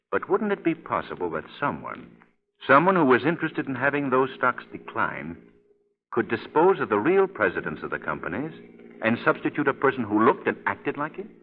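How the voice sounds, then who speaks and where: A man acting a suave, assured crime-fighter in a 1930s radio drama, speaking in a measured, formal, probing way. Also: mid-range voice, sing-song, close to an everyday voice. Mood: musing, grave. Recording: muffled vintage 1930s radio recording.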